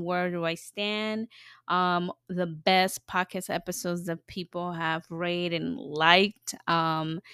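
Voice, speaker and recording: A woman's voice: fairly low pitch, a bit bright, clean tone, dry recording, studio quality. A woman from El Salvador hosting her own podcast, talking in an earnest, chatty way with plenty of fillers and restarts. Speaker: podcast host. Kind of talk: casual personal podcast monologue.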